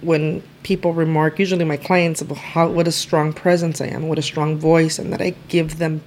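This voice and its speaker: A woman with a notably low voice speaks earnestly in an interview. She grew up in East Los Angeles with Spanish as her first language. A faint noise sits behind her. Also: level tone, moderate pace, darkish tone. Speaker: lawyer guest. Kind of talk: conversational interview.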